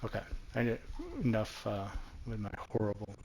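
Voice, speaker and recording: A man's voice: on the low side, little pitch movement, normal speed, a little mellow, a little hiss or hum, low-quality recording. A man from New Mexico giving chatty, self-deprecating commentary over his own gameplay as the host of a gaming channel.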